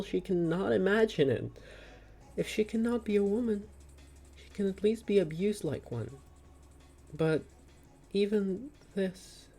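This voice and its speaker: A woman giving a dramatic scripted narration of a comic, with literary, deliberate delivery. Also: sits low, a little mellow.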